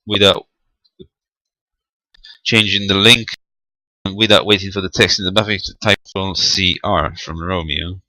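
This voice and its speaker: A low-voiced man walks through a technical demonstration step by step in a very crisp, bright tone. He is unscripted and a little hesitant, thinking aloud as he works. The recording is close and dry. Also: no room sound, poor audio. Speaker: amateur radio tutorial presenter.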